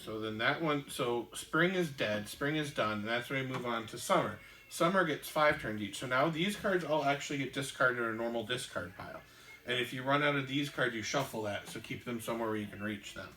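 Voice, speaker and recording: Bright-voiced man explaining game rules. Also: medium pitch, roomy sound, poor audio. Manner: halting, many ums and restarts. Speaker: board game show host. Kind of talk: casual rules explanation and chat.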